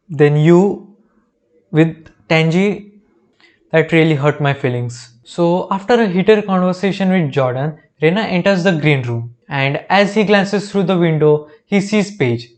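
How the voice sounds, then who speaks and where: A man's voice: a little high, darkish tone. A young man with an Indian accent, recapping a TV show with sarcastic, jokey asides. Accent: Indian English. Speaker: reaction video host. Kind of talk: comedic recap narration.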